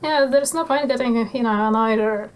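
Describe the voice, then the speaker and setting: A young woman in her twenties speaks with a Polish accent, her voice sitting a little high. She talks in a relaxed, almost offhand way, halting often on small fillers as she gathers her thoughts. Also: crowd noise. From Poland. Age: 20s. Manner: laid-back, nonchalant, halting with many fillers. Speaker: guest motorcycle traveller. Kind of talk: casual interview chat.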